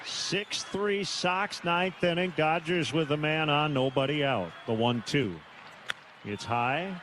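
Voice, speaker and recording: American guy doing slow baseball play-by-play, short bits w pauses, lots of stadium noise behind him. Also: on the high side. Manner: clipped short phrases with pauses. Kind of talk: live radio play-by-play commentary. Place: stadium broadcast booth. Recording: noisy live ballpark broadcast.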